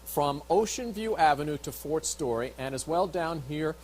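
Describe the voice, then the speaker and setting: A man's voice: fairly high pitch, expressive, normal speed, slight background noise. A man anchoring live television news, presenting stories partly off the cuff.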